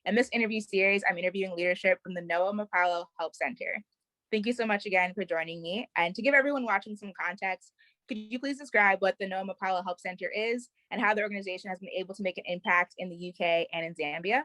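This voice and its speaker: A woman hosts an interview series with prepared introductions and questions. She speaks quickly at a medium pitch. The sound is dry, with very little room in it. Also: fairly bright tone, dry recording, low-quality recording.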